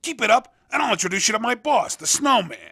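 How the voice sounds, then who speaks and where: A man playing a cocky street racing recruiter in a video game, with a raspy voice and slangy, coaxing patter. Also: very high-pitched, lively intonation, fast talker, sharp tone, heavily acted. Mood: cocky, encouraging. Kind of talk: video game character dialogue.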